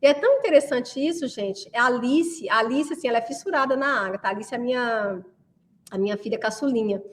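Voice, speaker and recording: A woman's voice: on the high side, fast talker, long reverb tail. A woman in her thirties giving a friendly online class, chatty and often checking in with the listener. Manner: chatty, keeps asking "né?" to the viewer. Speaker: course presenter.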